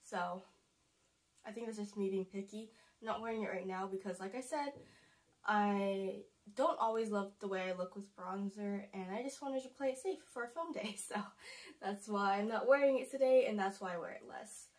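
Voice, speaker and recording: A woman's voice: mid-range voice, moderate pace. A young woman with a stuffy, congested voice from a cold, chatting casually to camera as a beauty vlogger, rambling and correcting herself.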